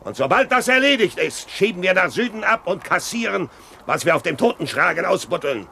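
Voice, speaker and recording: An actor in a German radio play playing a domineering, fevered old pirate captain with blustering, drawn-out calls and oaths. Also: male voice, sits high, big pitch swings, creaky, bright, forceful tone, slight background noise, heavily acted. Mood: gruff, commanding.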